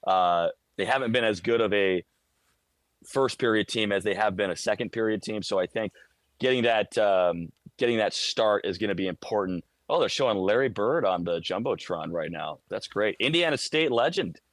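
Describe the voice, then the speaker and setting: A man's voice: fairly low pitch, normal speed, dry recording. An American man working as a radio play-by-play broadcaster, giving detailed, conversational sports analysis live and stammering over word starts.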